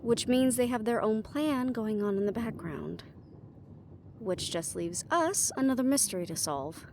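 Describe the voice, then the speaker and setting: A woman's voice: a little high, animated, measured pace, darkish tone, a little hiss or hum. A woman playing a guarded, weary young team leader in a fantasy audio roleplay, with a dry, casual delivery. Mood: businesslike.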